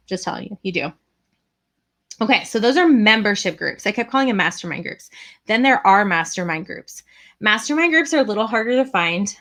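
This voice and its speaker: A woman's voice: mid-range voice, fairly bright tone. A woman giving casual, chatty advice as a business coach, with frequent fillers. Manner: chatty, many fillers and restarts.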